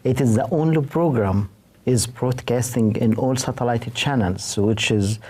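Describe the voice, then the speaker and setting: A man with an Egyptian accent, answering interview questions in an earnest, halting way. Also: mid-range voice, a little mellow, slightly rough. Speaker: director of a TV station's Arabic department.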